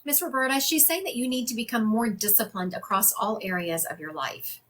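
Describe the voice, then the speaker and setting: Female psychic medium, bright forceful tone, conversational and chatty. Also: fairly high pitch, big pitch swings, a little fast. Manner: chatty and conversational, often laughing. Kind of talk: live-streamed reading for viewers.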